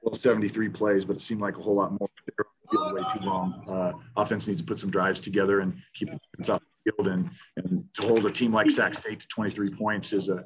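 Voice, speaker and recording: A man's voice: on the low side, flat delivery, fast, hardly any pauses, noisy background. A man in his fifties, a head football coach at a post-game press conference, talking bluntly with many restarts over a video call. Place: small room in front of a sponsor backdrop.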